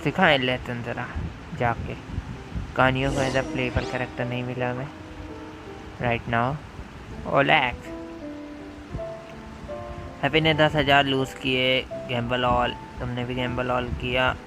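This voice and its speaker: A man's voice: medium pitch, little pitch movement, rapid-fire, pauses often. A man with an Indian accent chatting casually as a game live streamer, informal and repeating phrases, with game music behind him. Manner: informal, repeats phrases, switches into English words. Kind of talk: casual chat and game commentary. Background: video game music.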